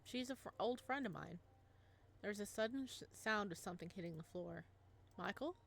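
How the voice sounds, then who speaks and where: A woman's voice: mid-range voice, expressive, normal speed, gravelly, a bit bright. Alex, voiced by a woman, hesitant young dad. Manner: hesitant, self-conscious. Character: young adult male teacher, single dad. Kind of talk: reading a visual novel aloud.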